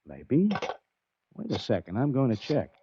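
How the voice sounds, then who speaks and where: Frustrated American betting man, lively pitch. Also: a little slow, slight background noise. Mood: frustrated, puzzled. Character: frustrated, plain-talking betting man, hard-boiled. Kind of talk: 1940s radio acting. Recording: dull, dry 1940s radio recording.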